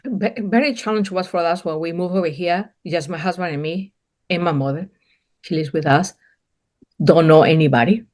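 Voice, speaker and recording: A woman's voice: lowish voice, moderate pace, a little mellow. Woman, thick colombian accent, spanish native speaker, broken english, earnest and open, repeats herself. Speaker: guest, painting business owner. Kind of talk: casual interview conversation.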